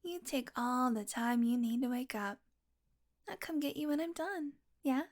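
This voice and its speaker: Woman acting as female vampire, higher-pitched, sardonic, predatory, teasing, murmured asides, intimate audio roleplay. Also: expressive, moderate pace. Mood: teasing, tempted. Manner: snarky, sweary, teasing, often dropping to a murmur.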